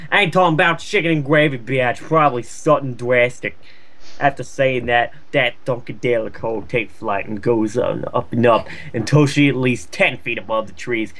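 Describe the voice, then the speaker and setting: A man with a bright, forceful voice reads a story aloud and riffs on it. He is sarcastic and swears freely, mocking the text as he goes. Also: mid-range voice. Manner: profane, sarcastic. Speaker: fan fiction reader and commentator. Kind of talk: mocking read-aloud with riffing commentary.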